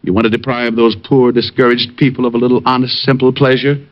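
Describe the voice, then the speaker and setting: A man's voice: mid-range voice, little pitch movement, fast talker, close to an everyday voice. A man with an American accent playing a wisecracking, hammy, song-loving private detective in a mid-century radio comedy-drama, with a playful, mock-dramatic manner. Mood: mock indignant. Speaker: radio actor. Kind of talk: 1940s-50s radio comedy-drama acting. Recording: muffled old radio recording, music behind.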